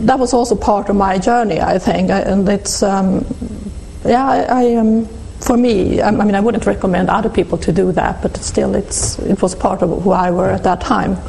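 A Swedish woman in her fifties with a Swedish accent, speaking as an interview guest and recounting her life in a hesitant, halting way with many restarts. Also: mid-range voice, fairly even intonation, vocal fry, warm tone, slight background noise, rough recording. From Sweden. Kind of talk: reflective personal testimony.

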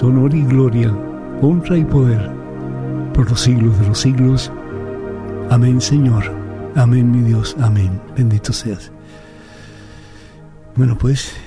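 A man speaking as a priest and programme host, reverent and conversational. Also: mid-range voice. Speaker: priest hosting a call-in programme. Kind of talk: devotional prayer and unscripted interview. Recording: soft new-age music behind the voice. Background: new-age music.

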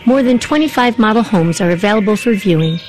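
A woman's voice: medium pitch, expressive, fast, a little mellow, a little hiss or hum, rough recording. A woman reading a scripted radio commercial in an inviting, upbeat way. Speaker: ad announcer.

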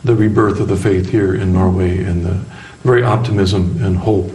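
A man's voice: low-pitched, steady tone, moderate pace, warm tone, rough recording. A man hosting a program, interviewing a guest in a conversational style.

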